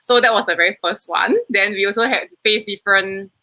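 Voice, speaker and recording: A woman's voice: medium pitch, a little fast, pushed and bright. A young woman with a Singaporean accent, a student film producer answering interview questions in a rambling, self-correcting way.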